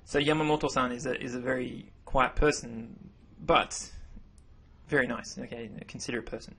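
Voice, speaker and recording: A man whose first language is English, explaining grammar over slides in a relaxed voice-over, often repeating himself as he goes. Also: mid-range voice, moderate pace, a little hiss or hum. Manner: relaxed, self-correcting explanations. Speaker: language teacher. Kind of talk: narrated slideshow lesson.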